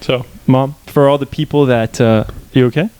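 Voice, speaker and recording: A man's voice: mid-range voice, steady tone, moderate pace, mellow, smooth. Playful young man hosting a podcast. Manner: playful, laughs often, rambling. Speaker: podcast host. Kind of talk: casual podcast chat. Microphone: headset.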